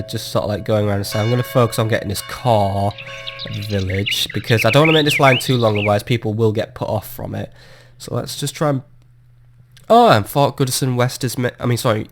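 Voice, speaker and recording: A man giving casual, self-mocking commentary while playing a management game, his voice still recovering from a cold. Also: medium pitch. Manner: chatty, self-mocking, swears when annoyed. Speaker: gameplay commentator. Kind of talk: casual let's-play commentary. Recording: audible background noise.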